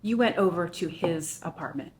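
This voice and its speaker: A woman's voice: on the low side, moderate pace, slight background noise, close to an everyday voice. A woman playing an earnest, probing character in a screenplay table read. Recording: roomy echoing table-read recording.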